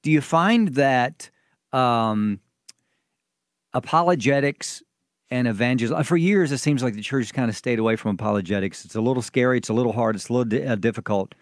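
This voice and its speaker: A man's voice: medium pitch, a little fast, no room sound. Enthusiastic male radio host, dry studio sound. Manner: enthusiastic, exclamatory. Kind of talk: upbeat radio talk, chatting with co-host and guest.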